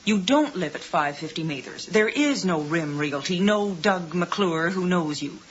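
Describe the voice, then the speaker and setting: Firm psychiatrist on noisy recording. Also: female voice, fairly low pitch, expressive. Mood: firm, sceptical. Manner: measured, probing, dryly sceptical. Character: calm, sceptical, firm female psychiatrist. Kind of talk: 1980s radio drama acting. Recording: dull, noisy 1980s radio recording.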